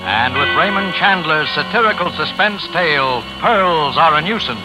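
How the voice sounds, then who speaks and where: A man's voice: fairly high pitch, animated, normal speed, poor audio. A man announcing a programme in dramatic, scripted 1940s radio style. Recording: old radio broadcast with loud music.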